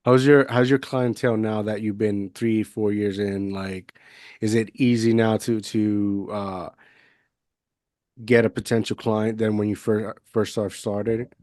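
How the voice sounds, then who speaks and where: A man's voice: fairly low pitch, flat delivery, a little slow, darkish tone, no room sound, clean studio sound. A man in his thirties from Puerto Rico, hosting a podcast interview in a loose, rambling way with many fillers and restarts. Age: 30s. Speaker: podcast host. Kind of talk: casual conversational interview. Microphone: budget USB mic, video call.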